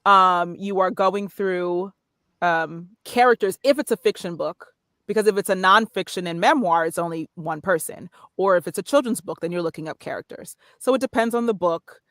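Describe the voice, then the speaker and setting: A woman's voice: lowish voice, moderate pace, fairly bright tone, no room sound, studio quality. A woman guest on a podcast, chatting casually about narration work and acting out little examples as she explains. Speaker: guest, voiceover artist. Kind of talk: casual interview talk.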